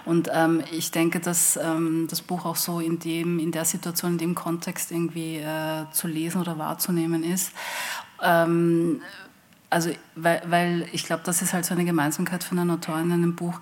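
A woman author talks in a panel discussion. Her voice sits fairly low and stays very flat in melody. She feels her way through sentences, often pausing to find the word. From Austria. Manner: hesitant, searching for words.

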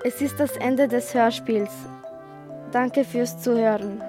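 A woman's voice: fairly high pitch, steady tone, slow. A woman playing a smug, gloating famous author who declaims in repeated phrases in a radio play. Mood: triumphant. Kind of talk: school radio play acting. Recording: music bed behind the voice.

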